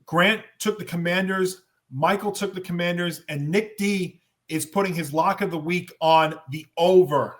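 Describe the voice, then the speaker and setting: A man with a hoarse voice hosting a football show, reading the schedule and debating picks. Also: high-pitched, moderate pace, few pauses, a bit bright.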